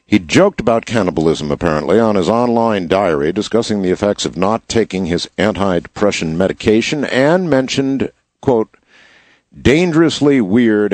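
Slightly hoarse man, host, casual news commentary, wry asides, low voice, dry sound. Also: a little mellow, dry recording. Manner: wry asides while reading headlines. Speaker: show host.

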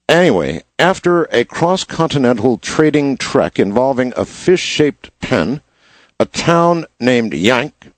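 A slightly hoarse man hosting a show, reading news headlines in a casual style and adding wry asides. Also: mid-range voice, dry recording. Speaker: show host.

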